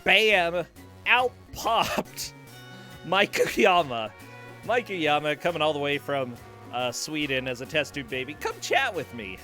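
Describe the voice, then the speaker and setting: Bright-voiced joking male stream host. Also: fairly high pitch, slow, soft background music. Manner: playful, joking, excitable. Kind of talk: casual chat, streamed game show commentary.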